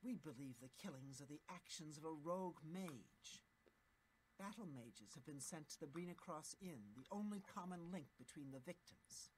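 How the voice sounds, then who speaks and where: Formal commanding mage guild lady from a game, low voice, moves around a lot in pitch. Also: lively intonation, normal speed, a little mellow, a little hiss or hum, poor audio. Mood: stern. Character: stern female mage guild official. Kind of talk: video game character dialogue.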